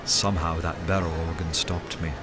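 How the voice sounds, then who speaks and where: Man playing a low-voiced, anxious undercover detective. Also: little pitch movement, over music, lightly acted. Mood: tense resolve. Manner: dramatic, earnest, measured. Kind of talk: first-person radio play narration.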